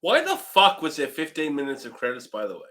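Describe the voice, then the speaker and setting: Bright-voiced, casual review co-host. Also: medium pitch, huge pitch swings, fairly quick. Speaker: co-host of a movie review show. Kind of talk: casual, profane banter.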